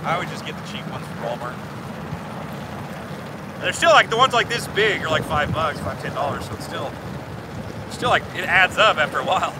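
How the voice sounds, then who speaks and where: A middle-aged man talks quickly with a fairly high voice, rambling and joking through one story after another. He sits a few feet from a phone camera in an open boat on a lake. Loud wind and water noise fill the gaps. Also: animated. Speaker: guest. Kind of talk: casual chat. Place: small boat on a lake, outdoors. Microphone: phone camera a few feet away.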